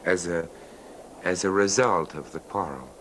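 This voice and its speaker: An actor playing a calm, tactful confidant who asks measured, hesitant questions in a 1970s radio drama. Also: male voice, low-pitched. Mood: curious, tactful. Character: calm, tactful male confidant, quietly probing listener. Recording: noisy 1970s radio broadcast recording.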